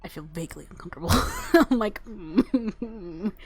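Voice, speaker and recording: A woman's voice: medium pitch, animated, a little slow. A woman giving casual, brief reactions as a let's play host while playing a game. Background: game music.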